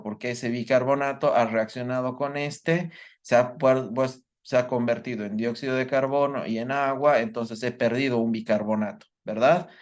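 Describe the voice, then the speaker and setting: A man teaches a live workshop. His voice sits at a middle pitch, and he works through each idea step by step. He stops often to ask his students whether they have understood. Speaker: medical lecturer. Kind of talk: live online workshop lecture.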